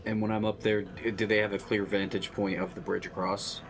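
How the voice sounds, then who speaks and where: A man's voice: lowish voice, flat delivery, a little fast, background noise. A man chatting casually as a player in a group tabletop game.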